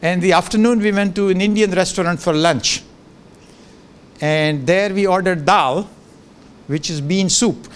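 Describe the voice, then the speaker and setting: An older man whose first language is Gujarati gives a relaxed, joking guest lecture into a handheld microphone in a large lecture room, with many fillers and restarts. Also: sits high, unhurried, long pauses, clear, resonant tone. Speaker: professor giving a guest lecture. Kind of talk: informal lecture with asides.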